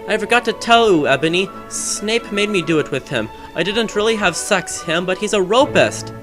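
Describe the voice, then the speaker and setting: An anguished teenage goth wizard played by a man in a fan-fiction reading, high and desperate. The lines come as loud, swooping, angry outbursts over music. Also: animated, normal speed. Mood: sad. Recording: music behind, amateur game microphone.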